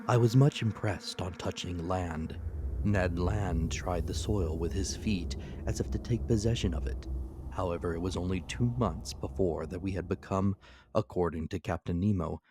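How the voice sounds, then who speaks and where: A man reading classic adventure fiction as a dramatic audiobook narrator, in a measured, deliberate manner. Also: low voice, noisy background.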